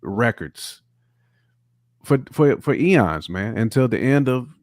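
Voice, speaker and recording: A man's voice: mid-range voice, very animated, slow pace, a little mellow, no echo, clean studio sound. A man chatting casually as a podcast co-host, slangy and emphatic, repeating phrases to drive them home. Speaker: hip-hop podcast co-host. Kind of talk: casual chat.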